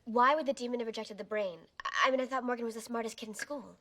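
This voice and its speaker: A young woman playing a quick-witted, direct teenage demon slayer in a TV drama. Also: on the high side, heavy background noise, close to an everyday voice. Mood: intent. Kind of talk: TV drama dialogue.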